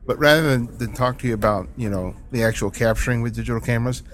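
A man's voice: medium pitch, quick pace, slight background noise. A man hosting a podcast who asks long, rambling interview questions full of restarts. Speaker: podcast host.

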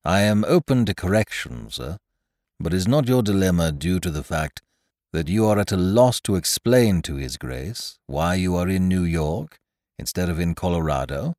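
A grave, unflappable gentleman's valet, as a male reader puts him on, his voice dropped very low and soft. He speaks with formal, measured deference. The sound is dry and close. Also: expressive, dry recording. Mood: deferential.

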